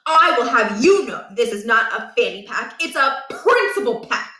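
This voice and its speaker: A woman's voice: on the high side, sing-song, normal speed, pushed and bright, roomy sound. A woman voicing a pompous, fussy middle-aged male school principal who talks in a stiff, formal way.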